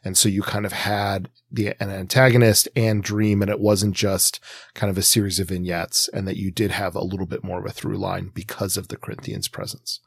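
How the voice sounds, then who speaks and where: A man's voice: sits low, level tone, moderate pace, vocal fry, breathy edge, no echo. A man co-hosting a podcast, chatting casually and giving opinionated critique in an informal way. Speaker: podcast co-host.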